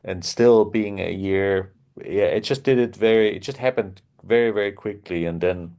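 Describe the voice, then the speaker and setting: A man's voice: on the low side, normal speed, mellow. A man from Austria, a guitarist guest, telling his story in a casual interview with many restarts and phrasing. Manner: hesitant, many restarts, non-native phrasing.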